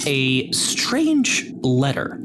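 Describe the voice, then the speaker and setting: A man working as game master, narrating an improvised tabletop game in a measured, deliberate way. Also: medium pitch, huge pitch swings, noisy background. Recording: prominent music bed behind the voice. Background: loud background music.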